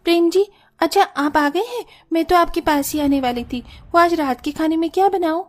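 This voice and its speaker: A woman's voice: very high-pitched, normal speed, darkish tone. A woman voicing a breezy, stubborn young daughter-in-law who justifies herself airily in a cartoon family drama. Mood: cheerful. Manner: airy, excusing.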